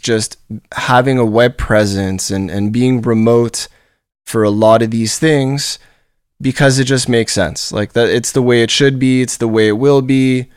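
A man's voice: medium pitch, clean tone, dry recording, studio quality. A man from Montreal with a nasal voice, talking on his own in an upbeat, rambling way as a podcast host, often repeating words as he restarts. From Montreal, Canada. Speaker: podcast host and company leader. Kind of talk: solo podcast monologue. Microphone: studio podcast microphone.

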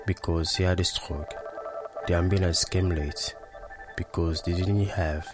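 A man giving scripted testimony in a grave, measured manner. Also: deep voice, steady tone, over music.

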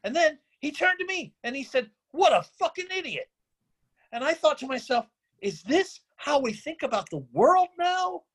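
An actor playing a middle-aged, idealistic absent father, speaking in a deliberate, brooding way in a dramatic screenplay reading. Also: very high-pitched, big pitch swings. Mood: brooding, impassioned. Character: disillusioned, idealistic absent father, philosophical and bitter. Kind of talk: dramatic table-read monologue.

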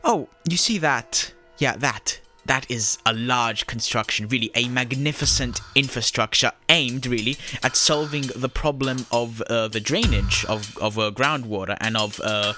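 A man playing an earnest guide to old mine engineering, explaining technicalities haltingly with many hesitations. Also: mid-range voice, pushed and bright. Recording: music behind the voice. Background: music.

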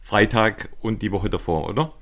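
A man's voice: on the low side, normal speed. A man co-hosting a podcast, chatting casually about his running. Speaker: podcast co-host.

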